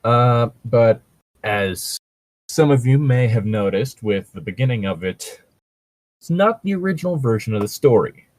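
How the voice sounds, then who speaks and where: A man's voice: mid-range voice, animated, measured pace, a little mellow, no room sound. A man co-hosting a podcast in casual banter and mock story reading, with a wry, sweary manner and a fondness for puns. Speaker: podcast co-host.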